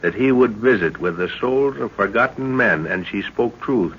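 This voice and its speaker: A man's voice: on the low side, animated, normal speed, close to an everyday voice. A man in an old radio drama playing a middle-aged, learned explorer who speaks with authority. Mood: assured, observant. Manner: authoritative, explanatory. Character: commanding, learned explorer professor, father of grown daughter. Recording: muffled, noisy old radio recording.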